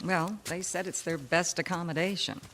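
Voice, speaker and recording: A woman's voice: fairly low pitch, fairly even intonation, normal speed, heavy background noise, close to an everyday voice. A woman playing a composed, businesslike, slightly condescending literary assistant in a radio mystery drama. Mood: weary.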